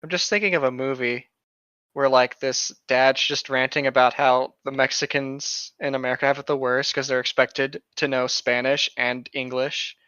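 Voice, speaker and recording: Male co-host, bright forceful tone, casual commentary, slightly lo-fi mic. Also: medium pitch, steady tone, moderate pace, clear, resonant tone, no echo. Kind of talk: casual commentary chat, reading a story aloud.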